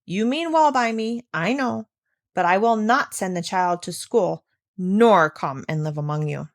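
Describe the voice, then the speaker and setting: A woman's voice: mid-range voice, a little slow, close to an everyday voice. A woman voicing a stubborn old mountain grandfather in a storybook, blunt and firmly determined. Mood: firm, bitter.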